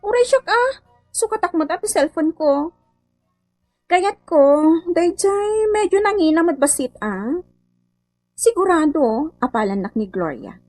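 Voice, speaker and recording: Haughty contractor's wife, radio comedy; high voice, animated swings, close dry sound. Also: female voice, measured pace, long pauses, dry recording, soft background music, heavily acted. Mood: showy. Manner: haughty, bragging. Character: boastful, showy wife of a building contractor. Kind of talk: comedy radio drama acting.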